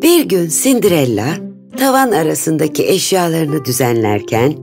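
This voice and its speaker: A woman storyteller narrates a scripted fairy tale for children. Her voice is low. Instrumental music is clearly present behind the narration. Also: big pitch swings, normal speed.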